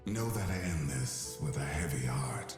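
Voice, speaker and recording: Very deep, echoing ancient guardian god. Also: male voice, lively intonation, darkish tone. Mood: sorrowful. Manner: grave, measured, formal, philosophical. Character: Nasus, ancient wise jackal-headed god guardian, solemn and patient. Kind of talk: video game voice lines. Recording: echoing voice over music.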